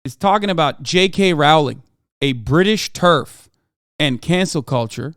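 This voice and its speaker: A man's voice: fairly high pitch, lively intonation, a little slow, a bit bright, no room sound, studio quality. A man from Turkey, in his thirties, giving heated, profane reaction commentary as a streamer, lecturing and emphatic.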